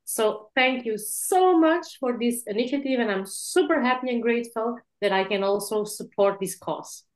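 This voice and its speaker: A woman's voice: on the high side, moderate pace. Belgian-born woman telling her story. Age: 40s. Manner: warm, lively storytelling, adds "yeah?". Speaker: guest speaker. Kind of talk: online interview, personal story. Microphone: video call.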